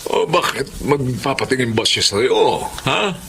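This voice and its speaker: An actor playing an ailing, forgetful aging father in a Filipino radio drama, gravelly, tender and halting. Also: medium pitch, animated, slight background noise, heavily acted. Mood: flustered, denying. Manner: halting, melodramatic. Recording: loud music over the voice.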